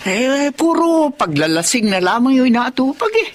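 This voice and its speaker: A woman's voice: high voice, big pitch swings, normal speed, a little hiss or hum. A woman acting a worried, loving elderly mother who nags and pleads, in a Filipino radio drama. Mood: chatty, regretful.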